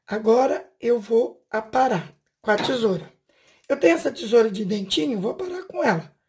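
Chatty lady in her 50s talking through a craft at home, kinda high voice, asks the viewer stuff while her hands work. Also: lively intonation, moderate pace, a little mellow, slight background noise. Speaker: craft channel host. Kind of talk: casual hands-on tutorial. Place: home room, indoors.

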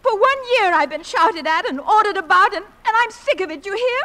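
A woman's voice: extremely high pitch, animated, fairly quick, pushed and bright, heavily acted. An actress playing a genteel, spirited young mother with proper, polite manners in a theatrical radio play. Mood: upset, pleading. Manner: proper, polite, indignant when crossed. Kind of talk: theatrical radio drama acting.

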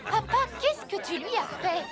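A determined young cartoon heroine in love. Her very high voice swings widely and emotionally as she stands her ground, over music and background hubbub. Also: big pitch swings, normal speed. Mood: indignant. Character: defiant young woman in love, strong-willed daughter. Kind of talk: French cartoon dub acting. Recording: music and crowd sounds behind.

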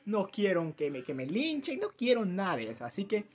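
A young man with a Latin American Spanish accent chats to the camera in a fairly high, youthful voice. He is animated and rambles in a self-deprecating way, like a casual YouTuber recording at home. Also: brisk. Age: teens to early twenties. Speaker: YouTuber reacting to a fan dub. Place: small cluttered room at home.

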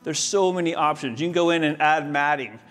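Higher-voiced American man, enthusiastic installer. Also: fairly quick, fairly bright tone. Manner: enthusiastic, informal. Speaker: home audio-video installer giving a tour. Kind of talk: casual walk-through presentation to camera. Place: inside a large, mostly empty house.

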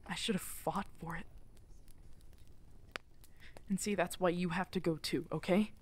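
A woman playing a guilt-ridden, protective exiled werewolf alpha in an intimate ASMR audio drama, halting and emotional, often slipping toward a breathy whisper. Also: lowish voice, steady tone. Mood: bitter self-blame, then anguished pleading.

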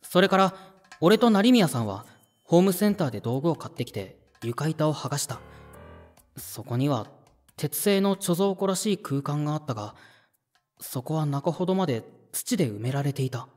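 A man's voice: a little high, expressive, normal speed, darkish tone, no echo, close to an everyday voice. A young man playing a timid, earnest junior sound worker in an audio drama, polite, hesitant and easily flustered. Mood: earnest, tentative. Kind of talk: audio drama acting with inner monologue. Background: background music.

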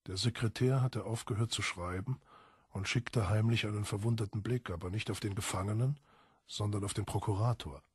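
A man with a husky, raspy voice narrating a literary audiobook from a script. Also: on the low side, brisk, no echo, clean studio sound.

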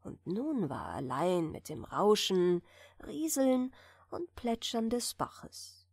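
Slow, soft woman reading a bedtime tale. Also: mid-range voice, sing-song, creaky, husky, no room sound, studio quality. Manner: soft, soothing, unhurried. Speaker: audiobook reader. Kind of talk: gentle bedtime fairy tale narration.

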